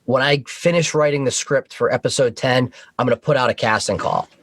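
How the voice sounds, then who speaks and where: A man chatting casually as a podcast guest, explaining things in a halting, discursive way with restarts and asides. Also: medium pitch, a bit bright, no room sound. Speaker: podcast guest, audio drama creator. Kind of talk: casual interview chat.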